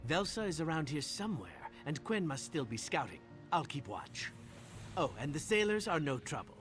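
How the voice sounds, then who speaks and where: An actor playing a somber, brooding veteran thief in a video game, terse and deliberate. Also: male voice, a little high, big pitch swings, fairly bright tone, soft background music. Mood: businesslike. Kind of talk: scripted video game dialogue.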